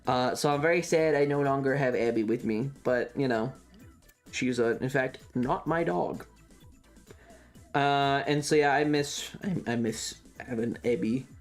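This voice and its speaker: Casual streamer storyteller, mid-range voice, rambling and sweary, emphatic repeats, music behind. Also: medium pitch.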